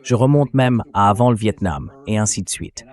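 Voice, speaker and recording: A man's voice: on the low side, moderate pace. A man hosting a political interview show, speaking in a direct, conversational commentary style.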